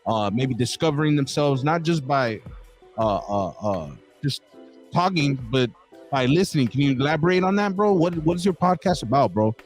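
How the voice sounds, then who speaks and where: A man's voice: on the high side, expressive, normal speed, soft background music. A man hosting a casual podcast interview, rambling with many restarts and calling his guest bro. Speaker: podcast host.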